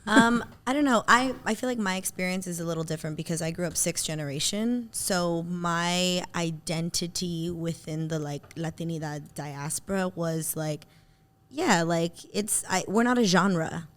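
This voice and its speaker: A woman with an American accent and a somewhat low-pitched voice speaks as a panel guest. Her delivery is casual and conversational, as in a group discussion about personal experience. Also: normal speed, a bit bright, slight background noise. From East Los Angeles, United States.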